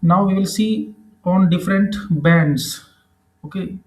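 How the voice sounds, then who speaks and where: The voice is recorded in an echoey room. It is a man with an Indian accent and a fairly high pitch, presenting a software tutorial. He explains step by step, halting and repeating himself as he goes. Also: faint music behind. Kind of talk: screen-recorded tutorial narration.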